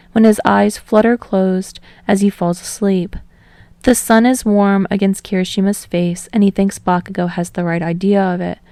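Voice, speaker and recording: A woman narrator reading fiction aloud in an expressive, storytelling style. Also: medium pitch, gravelly, no room sound. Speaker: podfic narrator.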